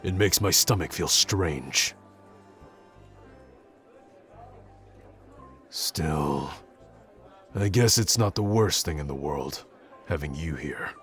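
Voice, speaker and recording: A man playing a gruff, awkward young tsundere prince who speaks haltingly and grudgingly in an intimate roleplay audio addressed to the listener. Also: a bass voice, fairly bright tone, exaggerated voice. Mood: guarded, musing. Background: background music.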